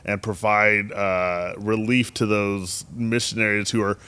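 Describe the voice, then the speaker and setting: A man's voice: fairly low pitch, level tone, measured pace, pushed and bright, clean tone. A young man, a church creative director, eagerly telling stories in a casual podcast chat. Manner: enthusiastic storyteller, acts out quotes.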